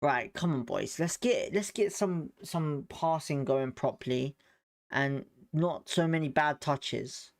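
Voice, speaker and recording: A man's voice: fairly high pitch, slow pace. A man with a British accent giving informal live football commentary as a fan host, blunt, slangy and sweary in his reactions.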